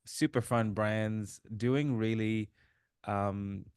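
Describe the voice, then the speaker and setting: A man in his fifties, originally from Sri Lanka, telling his life story as an interview guest, with relaxed fillers. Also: fairly low pitch, extremely slow, clean tone, no echo, clean studio sound. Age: 50s. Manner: warm storytelling, reflective, many fillers. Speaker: guest, author. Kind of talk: casual interview chat.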